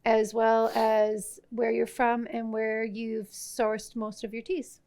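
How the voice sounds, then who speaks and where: A woman's voice: fairly high pitch, completely flat delivery, takes it slowly, darkish tone, slight background noise. A woman hosting a live tea festival panel, introducing her guests with frequent hesitations. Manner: hesitant, many uhs, welcoming. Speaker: host. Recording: live festival stage talk.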